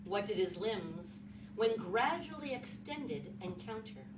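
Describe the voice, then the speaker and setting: A woman's voice: medium pitch, animated, slow. A woman giving a dramatic reading from a literary text, posing formal questions. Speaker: literary reader. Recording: roomy echo, distant mic, clear noise.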